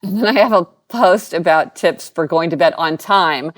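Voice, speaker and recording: Upbeat woman podcast co-host, lowish voice. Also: normal speed. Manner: upbeat, exclaiming, reads out links and resources. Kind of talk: casual podcast chat.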